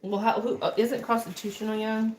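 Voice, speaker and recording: A woman's voice: fairly high pitch, little pitch movement, brisk, darkish tone, low-quality recording. Woman, 40s, West Texas accent, chatty and affectionate livestream co-host, casual kitchen talk. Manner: chatty, affectionate, reads out viewer names between serving food. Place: home kitchen.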